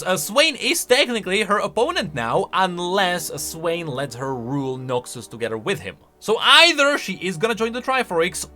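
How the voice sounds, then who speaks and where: Male gaming lore commentator: lively swinging pitch, bright forceful tone, conversational and speculative, faint music behind. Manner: conversational, speculative, rhetorical questions. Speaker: video game lore commentator. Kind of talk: casual reaction commentary.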